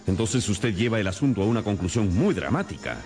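A shrewd, stern radio-drama detective with a lowish, hugely animated voice. He lays things out deliberately and theatrically, over music. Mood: stern, accusing.

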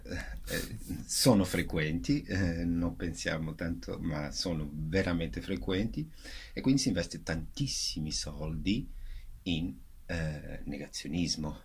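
A man with a low, husky voice, speaking as an interview guest. He explains his points earnestly, pausing and rephrasing as he looks for the right words. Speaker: theatre actor and director, interview guest. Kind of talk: interview answers about a stage show.

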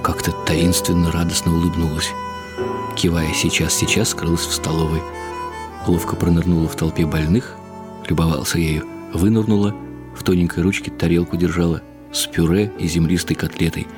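An actor playing a wry, reflective elderly husband who narrates in the first person in short clipped phrases for a radio drama. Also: male voice, low voice, fairly even intonation, moderate pace, music in the background, natural voice. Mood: tender, anxious.

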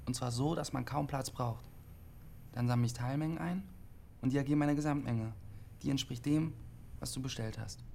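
A man's voice: mid-range voice, normal speed, vocal fry, pauses often, mellow, slight background noise, lightly acted. An actor playing a withdrawn, literal-minded CB radio operator in his twenties, matter-of-fact and pedantic, in a naturalistic radio drama. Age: 20s.

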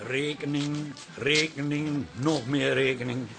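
Grumpy middle-aged husband: dry delivery, radio comedy, light music behind. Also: male voice, fairly high pitch, fairly even intonation, takes it slowly, gravelly, fairly bright tone, heavily acted. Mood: grumbling. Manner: grumbling, dry. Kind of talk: radio drama acting.

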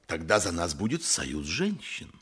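An actor playing a bossy, money-minded lawyer, insistent and speechifying, in a radio play. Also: male voice, low-pitched, big pitch swings, unhurried, fairly bright tone, husky, exaggerated voice. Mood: commanding, grandiose. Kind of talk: theatrical radio play acting.

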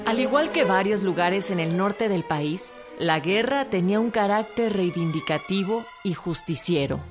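A woman's voice: medium pitch, lively intonation, moderate pace. A woman with a Mexican accent delivering scripted documentary narration as a narrator. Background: music.

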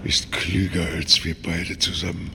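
An older man acting in a German radio drama as a dying king, halting and commanding, with a weak, gravelly voice. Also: deep voice, flat delivery, noisy background. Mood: weary, commanding. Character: dying old king giving last counsel to his son.

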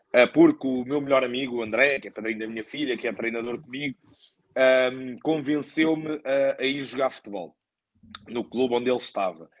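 A man's voice: medium pitch, no room sound. A man with a European Portuguese accent answering in a podcast conversation as a guest, thoughtful and hesitant, often repeating words for emphasis. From born in Angola, moved to Portugal very young. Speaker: guest, football coach.

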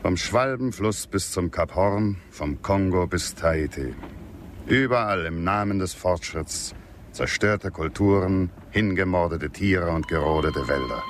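An actor in a German radio drama playing a disillusioned western hero, grave and bitterly accusing. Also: male voice, sits low, unhurried, slight background noise. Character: grieving, disillusioned aging western hero, man.

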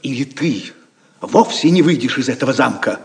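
A man's voice: medium pitch, lively intonation, moderate pace, creaky, a little mellow. A man acting a proud, suave Norman knight in an audio drama, with a florid, mock-gallant manner that carries menace. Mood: cold menace. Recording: reverberant hall-like sound.

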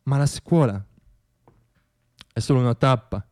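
A man performing a theatrical radio monologue, telling stories in a wry, dramatic way with deliberate pauses. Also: medium pitch, darkish tone.

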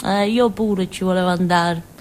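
An actress playing a naive, simple-minded village woman in a theatre monologue, with a southern Italian accent and a childlike, halting, colloquial way of telling things. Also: lowish voice, fairly even intonation, natural voice. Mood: naive, confiding. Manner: childlike, halting, giggly, muttering little asides. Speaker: stage actress.

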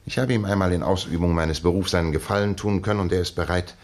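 An actor playing a composed gentleman detective in a 1960s German radio drama, courteous and precise in measured phrases. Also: low voice, fairly even intonation. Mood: courteous, probing. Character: composed, methodical gentleman detective explaining his deductions.

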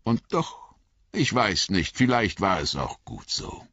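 A man puts on an old wizard, grave and gentle, in a storybook reading. The voice is deep, husky and unhurried, yet it rises and falls widely. The recording sounds a little dull and lo-fi. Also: sing-song, no echo, heavily acted. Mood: grave, kindly.

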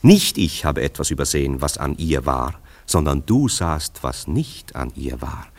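An actor playing a worldly, sceptical friend in a German radio play, with a dry, ironic, eloquent and theatrical delivery. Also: male voice, rumbling low, exaggerated voice. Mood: firm, persuasive. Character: sceptical worldly male friend, gently mocking realist. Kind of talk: German radio play acting, literary dialogue.

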